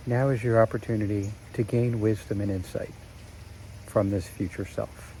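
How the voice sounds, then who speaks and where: A man narrating a guided meditation in a slow, soothing way with long pauses. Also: lowish voice, steady tone, dark tone, a little hiss or hum, low-quality recording.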